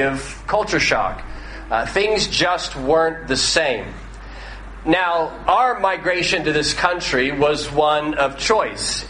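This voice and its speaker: An American man in his thirties preaches in a relaxed, conversational way, often pausing on "uh" and restarting his sentences. His voice is bright and forward. It rings through a large, echoey hall with a faint noise floor. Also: on the high side. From northwestern United States. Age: 30s. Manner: conversational, with frequent "uh" fillers and restarts. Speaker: pastor. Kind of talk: sermon.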